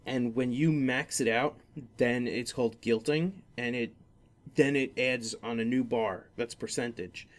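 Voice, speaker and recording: A man hosting a casual gaming podcast, talking in a rambling, halting way that often circles back on itself. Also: medium pitch, steady tone. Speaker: podcast host.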